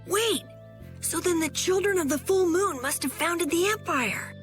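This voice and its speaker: A woman voicing an earnest, curious and excitable young boy adventurer in an anime-style game. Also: extremely high pitch, unhurried, soft background music. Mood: earnest, surprised.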